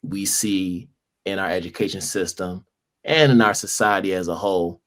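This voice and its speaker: A man's voice: low voice, normal speed, a bit bright. A man hosting a podcast interview, upbeat and welcoming as he introduces and questions a guest. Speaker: podcast host. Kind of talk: conversational interview.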